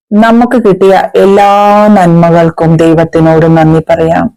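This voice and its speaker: A woman leading a guided meditation as a teacher, in a measured, soothing manner with pauses. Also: fairly low pitch, mellow, poor audio.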